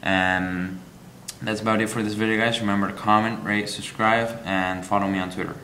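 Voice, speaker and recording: A man reviewing a game app, walking through its screens in a halting, explanatory way with frequent ums. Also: fairly low pitch, level tone, crowd noise, low-quality recording.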